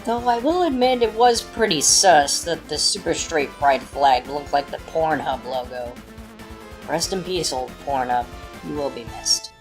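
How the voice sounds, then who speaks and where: A woman gives guest commentary in a somewhat low voice with animated intonation. Music plays clearly behind her prepared remarks. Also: unhurried, poor audio. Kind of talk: scripted commentary.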